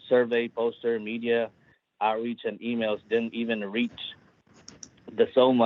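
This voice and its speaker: A man's voice: lowish voice, phone-quality sound. A man whose first language is Filipino, giving an earnest, pleading public comment by phone. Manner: earnest, pleading, repetitive phrasing. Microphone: phone line.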